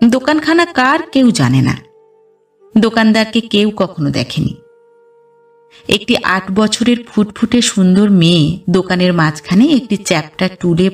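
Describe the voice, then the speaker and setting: A woman reads a literary story as a scripted audiobook narration, in a measured storytelling delivery. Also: fairly low pitch, distant mic. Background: background music.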